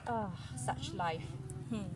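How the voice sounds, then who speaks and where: A woman's voice: medium pitch, expressive, takes it slowly, very noisy. A mother reading a children's story aloud, breaking off for casual explanations and questions to her child.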